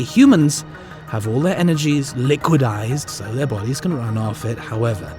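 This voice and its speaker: A man playing an eccentric, flippant alien time-traveller in an audio drama, explaining things in an animated manner. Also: medium pitch, close to an everyday voice. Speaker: lead actor.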